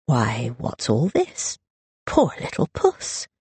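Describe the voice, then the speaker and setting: Woman acting a slurring, mocking young gentleman drunk. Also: rumbling low, huge pitch swings, slow, breathy edge, dry recording, heavily acted. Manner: slurred, drawling, taunting. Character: mocking, drunken young aristocratic brother, sulky. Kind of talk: dramatised audiobook character voice.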